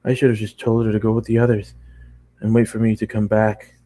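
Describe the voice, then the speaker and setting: A man's voice: fairly low pitch, monotone, fairly quick, warm tone, slight background noise. A man narrating a first-person story as an amateur reader.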